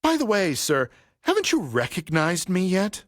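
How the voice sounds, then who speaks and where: Audio drama barber: coolly threatening, excessively polite, highly animated intonation, slightly high voice. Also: male voice, dry recording, studio quality. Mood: grim, knowing. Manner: servile politeness laced with menace, deliberate. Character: vengeful graying male barber, mock-polite, coolly menacing. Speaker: actor. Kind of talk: audio play acting.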